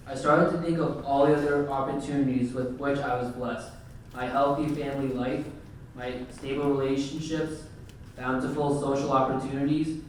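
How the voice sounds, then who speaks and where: A man's voice: mid-range voice, slight background noise. A teenage boy reading his essay aloud steadily in a workshop. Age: teens. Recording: echoey room from far off.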